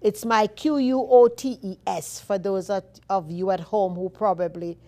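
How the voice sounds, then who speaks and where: A woman with a Jamaican accent hosting a show, reading favorite quotes in a slow, deliberate way with emphatic repeats. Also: medium pitch, fairly even intonation, dark tone. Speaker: host.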